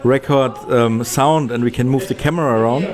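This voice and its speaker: A man speaking casually as an interviewed film director, halting and often searching for words. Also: medium pitch, darkish tone.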